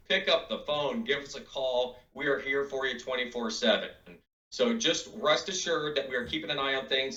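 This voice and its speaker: A man giving a reassuring, steady client update as a financial advisor. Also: medium pitch. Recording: roomy, distant, dull mic.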